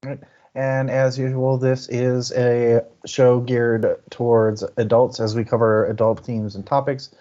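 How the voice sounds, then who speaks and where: A man's voice: mid-range voice, level tone, a little slow, warm tone, muffled recording. A man co-hosting a book discussion stream in an informal, conversational way. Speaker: podcast co-host. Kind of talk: casual book-chat livestream hosting.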